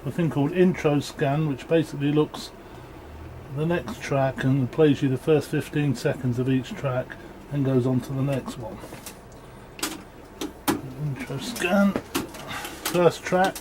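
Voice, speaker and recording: A man giving a casual, unscripted equipment demonstration in a matter-of-fact, halting way. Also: mid-range voice. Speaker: presenter demonstrating audio equipment. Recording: clearly noisy amateur room recording.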